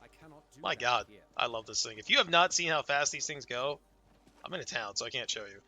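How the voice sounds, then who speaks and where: A young man casually talking through his game character, laid-back and excited, his voice worn and going hoarse from a cold. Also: medium pitch, fast talker. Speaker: gaming channel host. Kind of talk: casual gameplay commentary.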